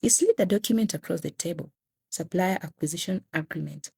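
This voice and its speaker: Woman, audio drama narrator, raspy timbre, noticeable vocal fry, dramatic scripted reading. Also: fairly low pitch, a little fast, mellow.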